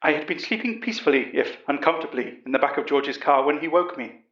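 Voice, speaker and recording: A man playing a calm, commanding professor, giving measured, literary first-person narration of a horror story. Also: fairly high pitch. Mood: grave storytelling. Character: calm, commanding British professor recounting a monster investigation. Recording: dull, roomy recording.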